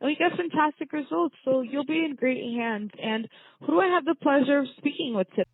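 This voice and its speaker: A woman's voice: high voice, a little fast, dry recording. Welcoming female receptionist on a phone line. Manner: welcoming, positive. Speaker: orthodontic office receptionist. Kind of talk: customer service phone call, semi-scripted. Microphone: telephone. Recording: narrow, tinny phone line, dry.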